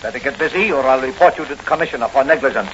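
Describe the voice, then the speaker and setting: An actor playing a bold, commanding masked crime fighter in a declamatory 1940s radio style. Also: male voice, medium pitch, very fast, fairly bright tone, close to an everyday voice. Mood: indignant. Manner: declamatory, thinking aloud. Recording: muffled, noisy old radio recording.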